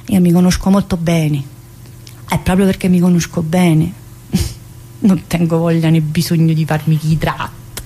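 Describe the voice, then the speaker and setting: A woman's voice: on the low side, little pitch movement, normal speed, long pauses, warm tone, breathy edge. An actress playing a brash, restless middle-aged female prison inmate in a theatrical monologue, with a southern Italian accent and a chatty, confrontational manner. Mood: scornful, challenging. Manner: chatty, confrontational, with frequent interjections and questions. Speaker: stage actress performing a monologue.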